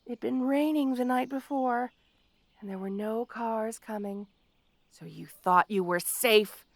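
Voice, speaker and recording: High-voiced, haughty, melodramatic female supervillain. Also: dry recording, heavily acted. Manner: gloating, haughty, dramatic pauses and sudden swoops. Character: petty, obsessive, melodramatic female supervillain with a grudge. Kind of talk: theatrical audio roleplay monologue, addressing the listener directly.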